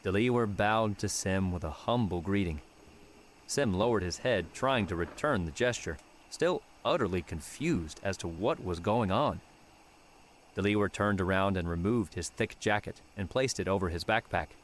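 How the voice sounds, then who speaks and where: A man's voice: low voice, normal speed, a little hiss or hum. A man reading scripted narration of an adventure novel as an audiobook narrator.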